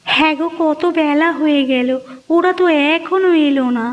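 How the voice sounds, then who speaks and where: A woman's voice: high-pitched, normal speed, dull audio, close to an everyday voice. A woman playing an anxious, fretful young village wife in a fairy-tale cartoon. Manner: fretful, questioning, melodramatic.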